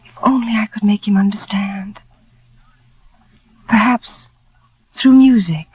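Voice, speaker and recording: An actress playing a shy, fearful, devoted young bride, wistful and emotional, in a 1940s radio drama. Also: female voice, mid-range voice, slow pace, close to an everyday voice. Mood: yearning. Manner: wistful, hushed, emotional delivery. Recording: dull old 1940s radio recording with music.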